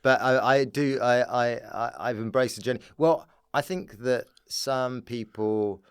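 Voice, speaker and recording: A man's voice: medium pitch, a little slow, clean tone, dry recording, clean studio sound. A man talking as a comedian guest in a casual chat, rambling and often repeating his words.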